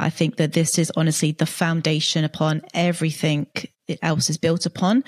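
Enthusiastic woman host, coach, lowish pitch, dry close mic. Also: dry recording. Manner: enthusiastic, affirming, talks in long runs. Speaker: podcast host and career coach. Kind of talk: interview chat.

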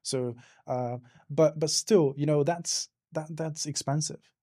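A man talks as a podcast guest, his voice dark and mellow. He hesitates often, filling gaps with "uh" and "you know" and restarting phrases, and the recording is dry and close. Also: fairly high pitch, fairly even intonation, slow pace, no room sound. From Hungary. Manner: hesitant, many fillers and restarts. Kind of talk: casual interview answers.

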